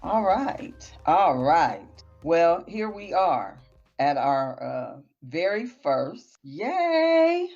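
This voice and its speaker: A woman co-hosting a podcast, bubbly and excited, repeating words for emphasis. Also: lowish voice, very slow, dull audio, soft background music. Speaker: podcast co-host. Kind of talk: casual interview talk.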